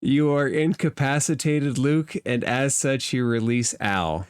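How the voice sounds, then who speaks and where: Slow-paced, clear-voiced man as game master. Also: mid-range voice, dry recording, studio quality. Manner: measured, explaining rules and addressing players. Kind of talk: narrating a tabletop roleplaying game.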